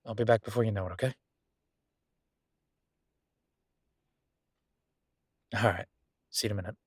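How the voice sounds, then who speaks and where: A man's voice: lowish voice, fairly even intonation, rapid-fire, husky, dry recording, studio quality. A man playing a friendly, self-deprecating young billionaire in a casual, intimate audio roleplay, rambling informally and playfully defensive as he talks to the listener. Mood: easygoing, reassuring.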